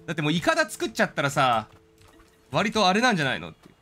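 A man's voice: fairly high pitch, wildly swooping pitch, very fast, bright, forceful tone, soft background music. A man giving casual live commentary as he streams a game, talking to himself and his viewers. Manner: reads game dialogue aloud in character.

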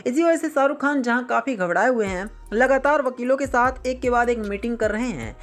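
Female news narrator, somewhat high voice, for scripted sensational channel report. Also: brisk, faint music behind.

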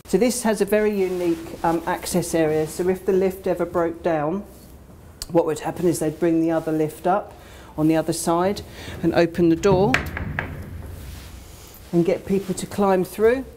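Mellow low-voiced woman guiding a tour. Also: steady tone, moderate pace, a little hiss or hum. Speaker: station tour guide. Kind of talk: informal guided tour commentary. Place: indoors in disused underground station, tunnels and train carriage.

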